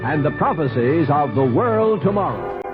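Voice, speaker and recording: A man's voice: fairly high pitch, sing-song, moderate pace. A man announcing a vintage religious radio broadcast in a formal introduction. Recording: muffled old radio, music behind.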